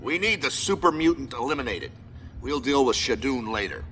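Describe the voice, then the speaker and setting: An actor playing a stern, authoritative military commander who gives clipped, formal mission briefings in a video game. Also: male voice, medium pitch, animated, moderate pace, a bit bright, in a large hall, a little hiss or hum.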